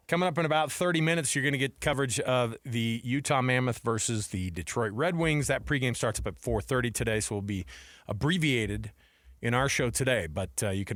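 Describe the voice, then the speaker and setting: A man's voice: medium pitch, fairly bright tone, no echo. American man, dry close-miked sports radio host. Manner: chatty, colloquial. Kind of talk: casual sports radio banter. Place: radio studio. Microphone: close studio microphone.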